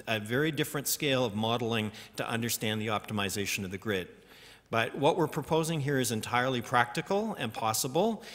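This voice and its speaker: A man speaking on a conference panel, answering audience questions in a measured, explanatory way with some hesitations. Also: medium pitch, fairly bright tone. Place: large conference hall. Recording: reverberant conference hall.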